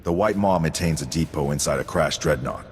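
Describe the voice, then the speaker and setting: A man playing a gruff, stern, disciplined army sergeant in a sci-fi video game, clipped and blunt in his military delivery. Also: a bass voice, a little fast. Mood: matter-of-fact. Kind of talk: scripted video game acting. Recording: long reverb, game music behind.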